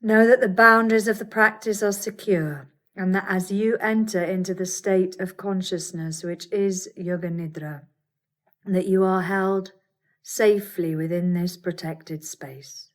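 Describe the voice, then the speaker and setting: A woman teaching yoga nidra, guiding a meditation in a calm, soothing, deliberate way with pauses. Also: mid-range voice. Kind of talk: guided meditation.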